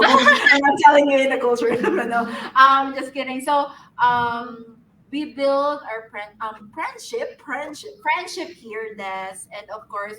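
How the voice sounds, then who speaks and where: A woman's voice: fairly high pitch, pushed and bright, distant mic, slight background noise, low-quality recording. Giggly Filipino woman on a video call. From Philippines. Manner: giggly, affectionate, many fillers. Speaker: team member. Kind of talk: casual live stream chat.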